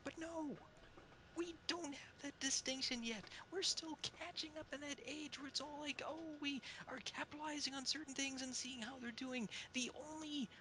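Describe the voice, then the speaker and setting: A woman's voice: high voice, moderate pace, noisy background. A woman speaking as a panelist on an online call, delivering opinions in an emphatic, theatrical editorial style. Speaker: talk show panelist.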